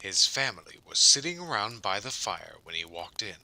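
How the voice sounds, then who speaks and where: A man's voice: on the low side, sing-song, moderate pace, creaky, sharp tone, full character voice, poor audio. A man playing a brooding, menacing, jealous rival suitor in an audio drama. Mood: deadpan storytelling. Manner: menacing, intense.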